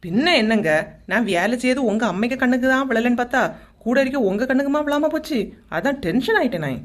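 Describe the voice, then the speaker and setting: A woman playing a touchy, sarcastic young wife in a cartoon comedy, quick to retort, with a Kanyakumari Tamil accent. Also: a little high, animated, close to an everyday voice. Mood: indignant.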